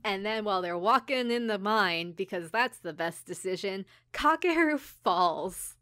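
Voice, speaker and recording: A woman's voice: medium pitch, unhurried, dry recording. Woman chatting casually, close dry sound. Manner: animated storytelling with mock dialogue and giggles. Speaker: podcast host and episode recapper. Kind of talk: casual chat, recapping an anime episode.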